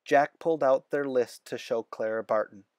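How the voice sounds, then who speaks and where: A man's voice: medium pitch, fairly even intonation, moderate pace, darkish tone, no echo, highs cut off. A man narrating a children's book as a read-aloud. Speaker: storybook reader.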